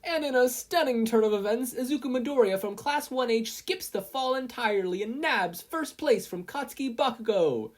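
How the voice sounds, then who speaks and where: Stadium announcer, male, played by an audiobook reader: high-pitched, loud, excitable race commentary. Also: moderate pace, few pauses, natural voice. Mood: excited. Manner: yelling play-by-play.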